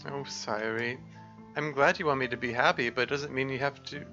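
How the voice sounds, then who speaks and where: Man putting on a concerned teen girl, kinda hoarse, bright tone, dull lo-fi sound. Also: medium pitch, fairly even intonation, a little fast, faint music behind. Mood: tender. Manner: concerned, questioning. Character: caring, worried teenage girl.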